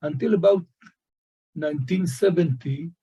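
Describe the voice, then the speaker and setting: A man whose first language is Hebrew, discussing scholarship as a guest in a slow, halting way with many fillers. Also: a little high, slightly rough, muffled recording. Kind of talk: academic discussion.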